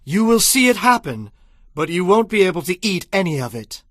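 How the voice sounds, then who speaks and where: A man's voice: high-pitched, very animated, normal speed, a bit bright, no room sound, clean studio sound, heavily acted. A man playing a solemn, commanding prophet in a dramatized audio Bible, declaiming his prophecies. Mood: proclaiming. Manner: declamatory, foretelling.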